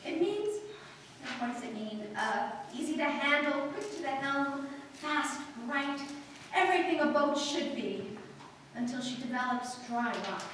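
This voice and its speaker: A woman acting in a 1940s-style radio drama as a proud, sharp-tongued, theatrical society bride. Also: sits high, animated, a little slow. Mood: wistful. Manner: haughty, theatrical. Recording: echoey hall from far off, faint noise.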